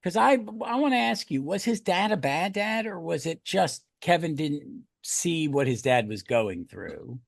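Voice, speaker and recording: A man's voice: a little high, animated, unhurried, vocal fry, hardly any pauses, no echo. A man hosting a podcast in casual review chat, talking in a rambling, enthusiastic way. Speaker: podcast host.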